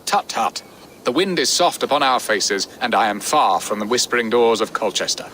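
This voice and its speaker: A man's voice: medium pitch, lively intonation, moderate pace, sharp tone, slight background noise. A man playing a theatrical, lovestruck Saxon lord, florid and playful, in a video game. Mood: jovial, affectionate. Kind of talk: video game cutscene acting.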